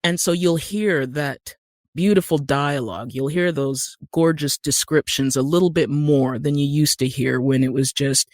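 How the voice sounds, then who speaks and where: A man's voice: fairly high pitch, moderate pace, no room sound, studio quality. A man talking conversationally on a podcast about his craft, opinionated and emphatic.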